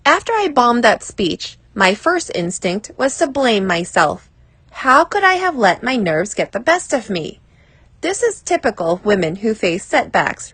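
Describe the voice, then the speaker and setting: A woman reading a personal advice essay aloud as scripted narration, in a measured, deliberate way. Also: a little high, fairly bright tone, slightly rough, dry recording.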